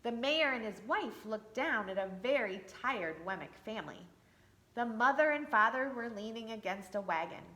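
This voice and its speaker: A woman's voice: fairly high pitch, animated, normal speed, pushed and bright. A woman reading a picture book aloud to children for a church, with chatty asides to her young listeners. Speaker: church storyteller. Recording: echoey room.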